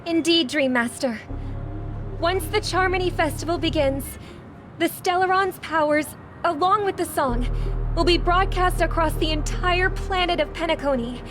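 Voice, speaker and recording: An actress playing a gentle, earnest young female singer who speaks softly and respectfully, recorded as a game dub over a loud score. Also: very high-pitched, moderate pace, over music.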